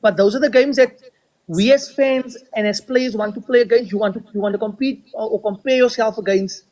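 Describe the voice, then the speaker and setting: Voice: mid-range voice, fairly quick, darkish tone, poor audio. A podcast co-host arguing a sports point firmly. The delivery is argumentative and direct, with repeated words as each thought gets going. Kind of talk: casual sports opinion.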